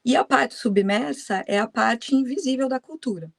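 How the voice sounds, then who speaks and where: A woman's voice: on the high side, moderate pace, creaky, no echo, studio quality. A woman, a guest in a conversation, patiently explaining ideas while thinking aloud.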